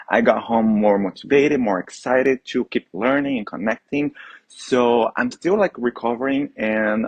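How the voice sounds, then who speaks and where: A man's voice: medium pitch, husky, no echo. A man from Brazil whose first language is Portuguese, a guest talking eagerly in a casual interview and often restarting his sentences. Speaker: guest, HubSpot trainer.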